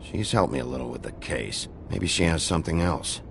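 Gravelly, deep-voiced gruff sheriff. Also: male voice, lively intonation, a little fast, noisy background, heavily acted. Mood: low, reassuring. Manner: terse, gruff, low-key. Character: gruff, weary sheriff, a brooding grown man. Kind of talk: video game noir voice acting. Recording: music behind the voice.

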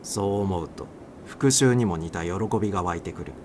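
A man's voice: sits low, moderate pace, a little mellow. A man reading a story aloud as a dramatic audiobook narrator. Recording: clear background noise.

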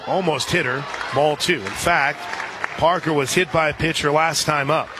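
A man doing live radio play-by-play of a softball game in a conversational way, with folksy asides. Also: a little high, moderate pace. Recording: crowd noise and music behind. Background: ballpark crowd and music.

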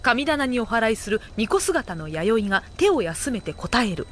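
A woman narrating a scripted anime comedy audio drama, with deadpan asides. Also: fairly high pitch, moderate pace, a little hiss or hum.

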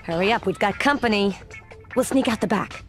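A woman's voice: mid-range voice, fairly quick, soft background music, close to an everyday voice. A woman playing a cocky, streetwise young bodyguard with a punchy manner in an action video game. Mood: cocky, urgent. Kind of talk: video game cutscene voice acting.

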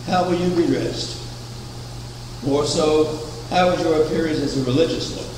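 An older man preaching a sermon from a pulpit, measured and anecdotal, asking rhetorical questions. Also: fairly high pitch. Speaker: preacher, founder of a religious order. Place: chapel, at a wooden pulpit. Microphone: far camera. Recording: echoey chapel from far off, air conditioning hum.

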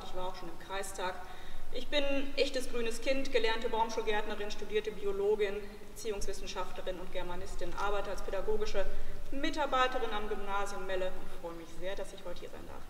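A woman's voice: medium pitch, slight background noise. A woman in her fifties, a mayoral candidate, introducing herself in a friendly, chatty way in a large sports hall. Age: 50s. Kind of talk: self-introduction. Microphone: far camera. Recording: echoey sports hall from far off.

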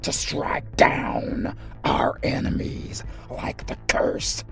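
A man voicing a bitter, imperious crippled vampire lord in a dark fantasy audio roleplay, in a menacing, rasping whisper. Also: drawn-out words, soft background music. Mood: commanding. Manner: menacing, theatrical.

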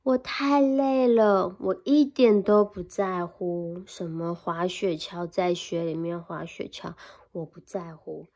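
A woman's voice: lowish voice, a little mellow, lo-fi sound, voice pushed down. Woman doing a sleepy, drawling bear. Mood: drowsy. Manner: slow drowsy yawning drawl. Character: sleepy lazy male bear. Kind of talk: picture-book read-aloud.

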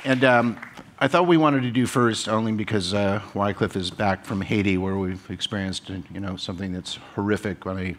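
A man with a very low voice moderates a conference panel. He talks hesitantly, leaning on fillers and restarts as he sets up the discussion. A faint ambience from the hall is heard behind him. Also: steady tone, moderate pace, crowd noise, background noise. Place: conference stage with an audience.

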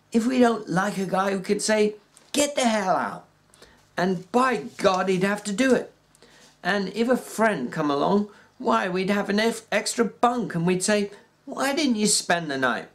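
A reader voicing a plainspoken ranch worker with a folksy rural drawl, pitched well above the narration and stumbling now and then. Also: expressive, a little slow. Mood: wistful. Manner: raised pitch, stumbles and fillers. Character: plainspoken ranch worker man dreaming aloud. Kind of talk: audiobook reading.

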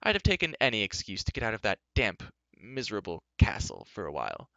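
A man's voice: lowish voice, huge pitch swings, fast, gravelly, pushed and bright, no room sound, lo-fi sound, full character voice. A man voicing a flamboyant, blustering bard and king in a fantasy audiobook, effusive and easily flustered. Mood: sheepish. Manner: effusive, flustered, hesitant boasting.

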